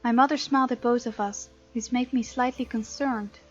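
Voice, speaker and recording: A woman's voice: on the high side, fairly even intonation, normal speed. A woman hosting a gaming video, reading game text aloud and reacting to the characters in a casual, playful way. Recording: slightly dull sound, music behind. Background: game music.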